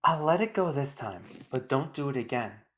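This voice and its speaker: A woman's voice: extremely low pitch, lively intonation, normal speed. A woman voicing a firm, wry mother who scolds and reasons with children in a storybook. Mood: forgiving, firm. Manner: pointed questions, firm instructions.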